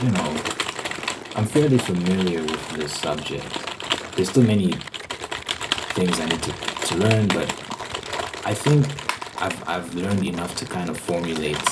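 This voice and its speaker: Casting: ASMR host, very deep voice, informal rambling delivery, clear background noise.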